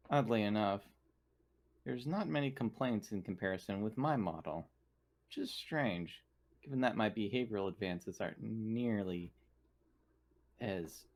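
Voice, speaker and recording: A formal, curious android crew member, played by a man at a gaming session. The voice sits a little low. The phrasing is measured and articulate, polite and detached, as in a sci-fi roleplaying game.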